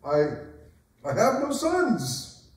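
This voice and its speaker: A man's voice: very high voice, wildly swooping pitch, extremely slow, full character voice. A man playing a proud, wry, aging king in a theatrical staged reading, declamatory in manner. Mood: defiant. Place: arts center stage, recorded from afar. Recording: very echoey hall, distant mic.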